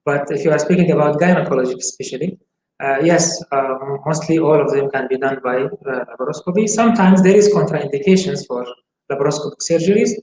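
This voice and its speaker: A man in his forties from Syria answers interview questions as a gynecologist. His voice sits a bit high for a man, and he talks haltingly with many pauses. The sound is roomy with a faint hiss. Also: a little mellow. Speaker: gynecologist guest. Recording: echoey room, faint hiss.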